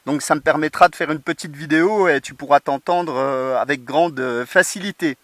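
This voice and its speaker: A man's voice: on the high side, moderate pace, a bit bright, no room sound. Casual man on radio, dry close sound. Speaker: amateur radio operator. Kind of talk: casual radio contact chat. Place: outdoors on a mountain at a portable radio station.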